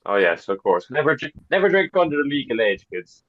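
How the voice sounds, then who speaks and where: A man's voice: medium pitch, sing-song, fairly quick, muffled recording. A man co-hosting a podcast chat with dry jokes and mock grumbling. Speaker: podcast co-host. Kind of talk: casual chat over a video call.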